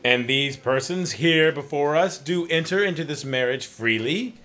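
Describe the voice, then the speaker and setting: Actor as a stately, higher-pitched duke. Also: male voice, expressive, normal speed, sharp tone. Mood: solemn, imperious. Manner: ceremonial, pompous. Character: stately, imperious reigning duke in ceremony. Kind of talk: audio drama acting.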